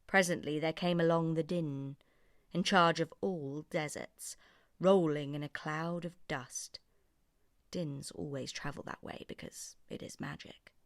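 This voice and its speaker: Brief: female storybook narrator, slightly low pitch, very animated, unhurried, close dry sound. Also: dry recording. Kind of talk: expressive scripted children's story narration.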